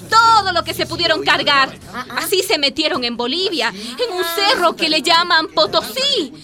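An actress playing a fiery village woman rallying her neighbours in a radio drama, impassioned and oratorical. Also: sits high. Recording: crowd murmur behind the voice.

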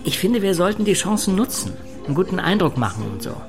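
A man's voice: high-pitched, very animated, fast, over music. An actor playing a loyal, insecure male radio producer with a plain-spoken, conversational delivery in a German radio drama. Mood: eager.

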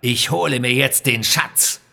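A man's voice: mid-range voice, a little slow, creaky, pushed and bright, exaggerated voice. A man voicing a greedy, gloating villain in an audio adventure, taunting and commanding.

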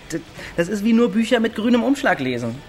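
A man's voice: high-pitched, big pitch swings, normal speed. Offhand teenage boy, faint music. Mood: teasing. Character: casual, teasing teenage village boy. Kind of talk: naturalistic German radio drama.

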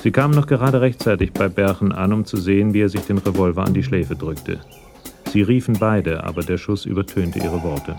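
An actor playing the sober, detached narrator of a German radio crime drama. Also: male voice, sits low, a little fast, vocal fry, music in the background.

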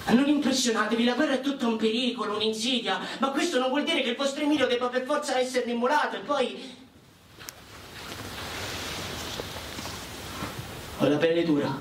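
A young soldier, played by a male actor, declaims a war letter with fervent devotion. His high voice comes from a distance in an echoing, noisy space. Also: expressive, quick pace. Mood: reassuring, tender. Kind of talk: theatrical reading of a war letter. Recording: echoey, distant, clearly noisy.

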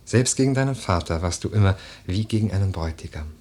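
An actor playing an earnest, melancholy nobleman in a literary radio drama, speaking in a soft, husky voice with grave, measured phrasing. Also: low voice, lively intonation. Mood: pitying, gentle. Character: earnest, melancholy nobleman, the widow's admonishing cousin.

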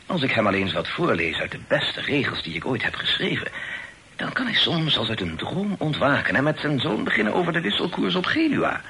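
An actor in a period radio play, voicing a humble, talkative old poet who is effusive, hesitant and deferential. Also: male voice, on the high side, very animated, fairly quick, pushed and bright, full character voice. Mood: chatty, fond. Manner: effusive, hesitant, sighing, repeats himself. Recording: noisy old radio drama recording.

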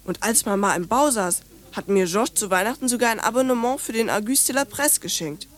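A woman's voice: on the high side, expressive, fairly quick, close to an everyday voice. A woman voicing a precocious, bluntly scornful young French girl in a radio play, telling stories in bossy, chatty slang. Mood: scornful, boastful.

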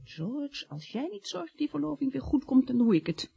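A woman's voice: sits high, sing-song, normal speed, gravelly, background noise, full character voice. An actress playing a tactful but firm female secretary in a radio drama, polite and pointed. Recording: dull, lo-fi old radio drama.

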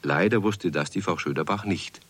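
An actor narrating a children's radio play as a calm storyteller. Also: male voice, sits low, normal speed, slightly rough.

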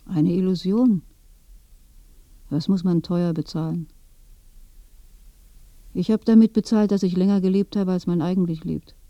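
A woman's voice: lowish voice, a little slow, long pauses, warm tone, no room sound, lo-fi sound. An actress playing a weary, disillusioned dead old village woman in a German radio play, matter-of-fact and muted. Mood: weary, bitter. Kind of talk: German radio play acting, intimate dialogue.

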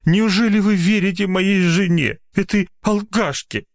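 A man's voice: high voice, sing-song, unhurried, pitched above the performer's own voice. A man voicing an old male patient in a comic story, whining, self-pitying and pleading in a tragic, tearful manner. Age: older.

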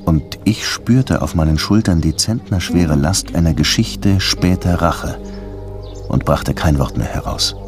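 Weary, very deep-voiced detective. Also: male voice, measured pace. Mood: brooding narration. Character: weary gruff police detective in Havana, narrating his own case. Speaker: lead actor and first-person narrator. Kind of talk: German radio drama acting and narration. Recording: music under the voice. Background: music.

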